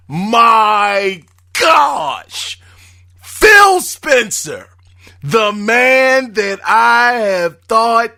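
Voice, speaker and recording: A hoarse man hosting a podcast, shouting often. His voice sits very high for a man, bright and cutting. He speaks slowly and draws his words out. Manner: excitable, shouting. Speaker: podcast host. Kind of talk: casual podcast monologue.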